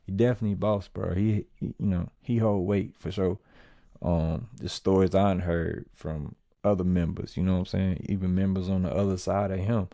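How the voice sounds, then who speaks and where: A man's voice: deep voice, creaky, warm tone, no echo. A man hosting a podcast, talking in a laid-back, rambling way with many fillers. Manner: laid-back, filler-heavy street talk. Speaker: podcast host. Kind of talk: casual commentary.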